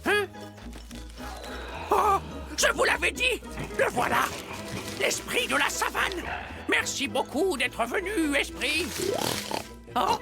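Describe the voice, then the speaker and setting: An excitable little cartoon animal chatters eagerly and theatrically in a very high, bouncing voice. Music is clearly heard behind. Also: female voice, takes it slowly, long pauses, exaggerated voice. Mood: excited. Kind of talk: French cartoon dub.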